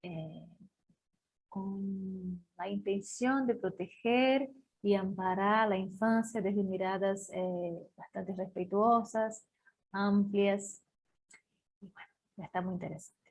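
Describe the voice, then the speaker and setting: A woman's voice: mid-range voice, steady tone, darkish tone. A woman hosting an online talk. She introduces her guest, often stops and corrects herself, and stumbles over names. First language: Portuguese. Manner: halting, self-correcting over names and words. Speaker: host.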